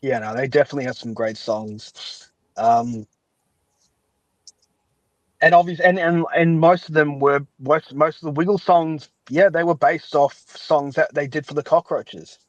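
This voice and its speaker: A man's voice: a little high, unhurried, no room sound, highs cut off. A man chatting casually as a podcast guest, giving his opinions in a rambling way and often qualifying what he says. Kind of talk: casual chat.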